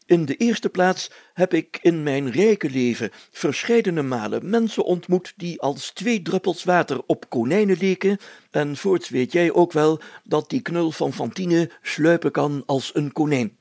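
A man's voice: a little high, lively intonation, a little slow, darkish tone, no room sound, voice pushed up. A man voicing a pompous, solemn, overcautious village mayor in a storybook reading, speaking in formal, stately phrases. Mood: pompous, grave.

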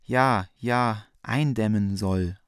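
A man's voice: on the low side, takes it slowly, clear, resonant tone, no room sound, studio quality. A man playing a sickly, dutiful son in a radio play, with a weak, consumptive, almost toneless voice. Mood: feebly echoing. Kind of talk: theatrical German radio play.

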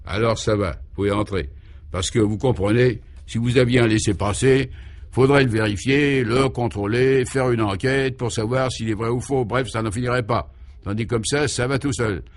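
A man voicing an absurdly logical, easygoing military guard chief in a radio comedy, brisk and colloquial. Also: medium pitch, close to an everyday voice. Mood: brisk, obliging.

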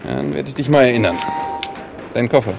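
An actor in a German radio drama playing a domineering Nazi sergeant who bullies with mock-friendly, needling questions. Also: male voice, medium pitch, brisk, gravelly, noisy background, close to an everyday voice. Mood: taunting, menacing. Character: menacing Nazi sergeant bullying a child on a train.

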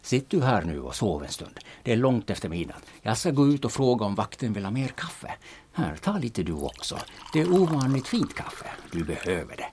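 A man's voice: mid-range voice, sing-song, a little mellow, slight background noise. An actor playing a sly, coaxing male agent who talks people into things. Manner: coaxing and persuasive.

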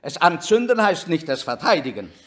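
A man's voice: a little high, sing-song, normal speed, fairly bright tone. An actor in a German radio drama playing a haughty, imperious elderly statesman who declaims and sneers. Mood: disdainful.

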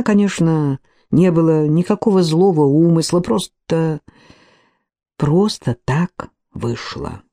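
A woman narrating a novel as an audiobook, reading every character's lines in her own unhurried voice. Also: lowish voice, dark tone.

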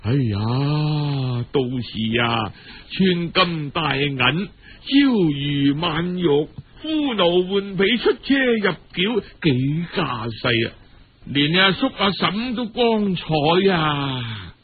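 A man's voice: on the high side, animated, takes it slowly, husky, heavily acted. Phone-like recording, man voicing coaxing village aunt. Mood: flattering. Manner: coaxing, sighing, colloquial. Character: scheming, sweet-talking middle-aged village aunt. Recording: muffled, phone-like old radio recording.

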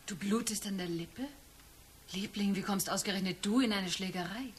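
An actress playing an affectionate, probing middle-aged wife in a radio drama. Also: female voice, medium pitch, normal speed, lightly acted. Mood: concerned, probing. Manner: affectionate, inquisitive.

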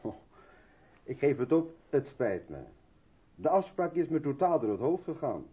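A man's voice: fairly high pitch, very animated, moderate pace, slightly rough, phone-quality sound, full character voice. An actor playing a brusque, reluctant man absorbed in his work, hesitant in manner, in a Dutch radio drama from the 1970s. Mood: flustered, apologetic.

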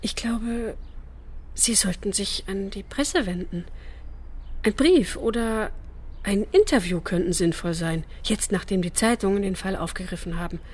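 An actress in a radio drama playing a refined, compassionate lady who speaks politely, earnestly and softly. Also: mid-range voice, a little slow, slightly rough. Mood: gentle, earnest. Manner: polite, earnest, soft-spoken.

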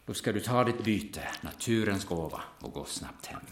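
A man playing a gruff, solemn, seasoned hunter who instructs and commands in an audio drama. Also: on the low side, lively intonation, reverberant, close to an everyday voice. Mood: reverent, hushed.

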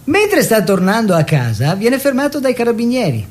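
An actor playing a self-satisfied, worldly art-collector husband who tells stories with wry emphasis in a radio play. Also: male voice, very high voice, wildly swooping pitch, moderate pace, full character voice. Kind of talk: theatrical radio storytelling in dialogue.

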